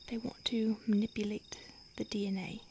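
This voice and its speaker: A woman's voice: mid-range voice, level tone, a little hiss or hum, close to an everyday voice. Whispering woman as a brooding female vampire. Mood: grave, hushed. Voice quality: soft, breathy whisper. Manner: slow, intimate, halting. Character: centuries-old female vampire, starved, brooding, remorseful predator. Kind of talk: whispered roleplay ASMR.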